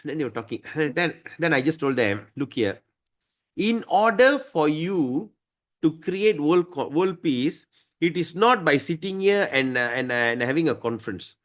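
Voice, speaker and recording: A man's voice: a little high, lively intonation, normal speed, fairly bright tone. A man teaching a scripture study class, emphatic and often asking his listeners "right?".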